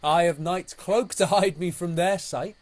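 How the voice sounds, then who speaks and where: A man's voice: high voice, unhurried. A man acting an ardent young romantic lover in a Shakespearean audio drama, with theatrical verse delivery, recorded at home on a phone.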